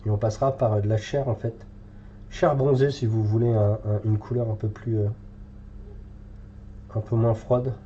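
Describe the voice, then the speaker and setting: A man's voice: lowish voice, steady tone, moderate pace, pauses often, mellow, highs cut off, a little hiss or hum. A French man with a cold, thinking aloud with many fillers as he paints live in a hobby tutorial. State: has a cold, congested.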